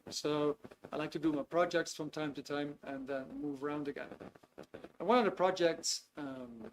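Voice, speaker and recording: A Dutch-accented man in his fifties, a native Dutch speaker, hosts a live webinar. His voice sits slightly higher than most men's, and he speaks in a loose, friendly way full of ums and restarts. A faint background hiss sits under his computer mic. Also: rough recording. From Netherlands. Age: 50s. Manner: easygoing, chatty, frequent hesitations with ums. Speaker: webinar host. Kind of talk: live online webinar talk. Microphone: video call computer mic.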